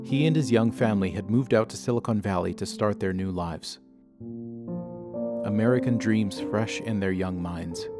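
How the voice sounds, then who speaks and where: A man narrating a scripted audio drama in a measured storytelling manner, with a gravelly voice. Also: on the low side. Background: music.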